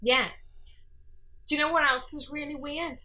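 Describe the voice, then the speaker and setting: A woman in her early twenties with a Scouse accent tells stories in a casual conversation. Her voice sits on the high side and sounds very bright. She is an animated talker who acts out bits of dialogue as she goes. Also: normal speed. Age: early 20s. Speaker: podcast guest. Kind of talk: casual chat, anecdotes.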